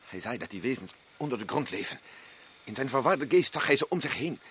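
A man's voice: medium pitch, lively intonation, brisk, a little hiss or hum, natural voice. An actor playing an agitated, insistent male expedition member in a radio drama. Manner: urgent, rising to shouts.

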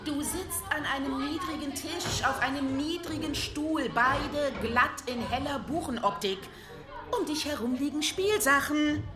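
A woman's voice: sits high, measured pace, crowd noise. A woman narrator reading a scripted description of a scene, addressed to the listener.

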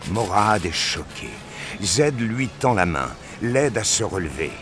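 A man's voice: lowish voice, sing-song, measured pace, background noise. A man reading scripted narration for a science fiction audio drama.

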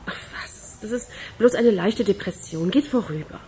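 A woman's voice: medium pitch, normal speed, dark tone, a little hiss or hum, close to an everyday voice. Radio crime drama: woman playing melancholy, evasive patient, wryly reassuring, mid-range female voice.

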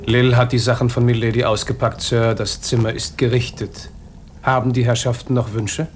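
An actor in a German radio drama playing a young but sedate English butler, formal, deferential and dryly discreet. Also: male voice, medium pitch, little pitch movement, normal speed, a little hiss or hum, lightly acted.

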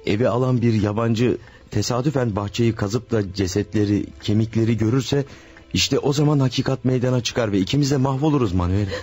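An actor playing a farmer husband who hides a murder and calmly soothes his new wife in a radio play. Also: mid-range voice, a little fast, lightly acted. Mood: soothing. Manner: soothing, reassuring, smooth-talking. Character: scheming farmer husband, secretly a killer. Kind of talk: classic radio drama acting.

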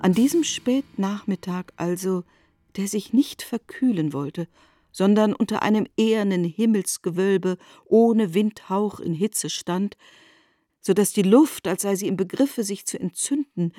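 A woman narrating a literary radio drama in a measured storytelling manner. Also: medium pitch, mellow, no room sound, studio quality.

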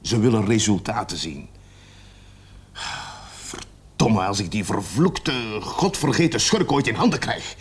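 An actor playing a weary, grumpy police sergeant in a radio play, talking in a gruff, grumbling manner. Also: male voice, fairly low pitch, lively intonation, a little slow, pauses often. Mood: angry, gruff.

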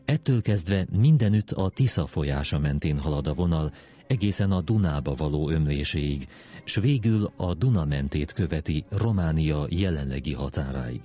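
A man reading official document text aloud in a measured, formal way for a history programme. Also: extremely low pitch, expressive, warm tone. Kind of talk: formal read-aloud of treaty articles.

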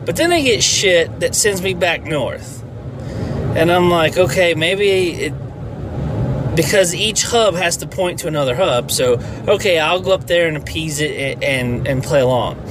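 A man's voice: a little high, expressive, measured pace, noisy background. A man hosting a casual solo podcast, rambling and swearing, with a nasal, stuffy voice. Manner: profane and rambling. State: stuffy from allergies. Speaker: podcast host.

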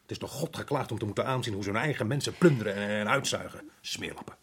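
An actor playing a harried, indignant middle-aged colonial resident in a radio drama, exasperated and sarcastic. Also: male voice, on the low side, fast, slight background noise, lightly acted. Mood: indignant, bitter.

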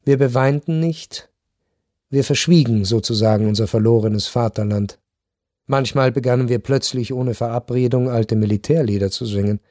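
Mellow, melancholy male narrator actor, strings behind. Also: medium pitch, no room sound, clean studio sound, close to an everyday voice. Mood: mournful. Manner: measured, reflective. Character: melancholy first-person narrator, resigned Viennese gentleman. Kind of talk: literary radio drama narration.

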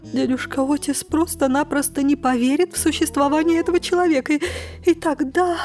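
An actress playing a fretful, anxious aunt in an audio drama, worried and lamenting in a dramatic manner. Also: female voice, high voice, fairly even intonation, a little fast, darkish tone, soft background music, close to an everyday voice. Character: fretful, anxious aunt worrying over her eccentric relative.

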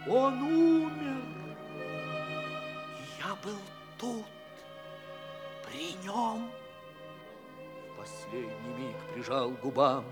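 A grief-stricken, loyal friend in a melodramatic radio play. His extremely high voice wails very slowly and with overwrought drama, echoing in a reverberant hall over music. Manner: wailing, overwrought, drawn-out. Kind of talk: melodramatic tragicomic radio declamation.

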